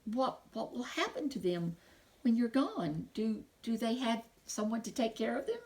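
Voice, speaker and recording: A woman acts out a kindhearted young storybook prince. The voice is pitched up and very animated, with gentle, earnest phrasing that stumbles a little in its eagerness. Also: moderate pace, close to an everyday voice. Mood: gentle, concerned.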